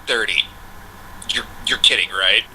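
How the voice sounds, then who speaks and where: Man playing an exasperated guy, very sharp voice. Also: on the low side, a little slow, a little hiss or hum, rough recording. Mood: resigned disbelief. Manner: weary, incredulous, resigned. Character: exasperated, reluctant male computer owner. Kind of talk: amateur fan fiction reading.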